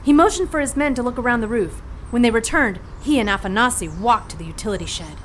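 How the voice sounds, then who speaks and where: A woman's voice: fairly high pitch, quick pace, slight background noise, natural voice. A woman playing a plucky, sassy 1940s heroine who narrates her adventure in an audio drama with brash, wry slang. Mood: sassy, wary.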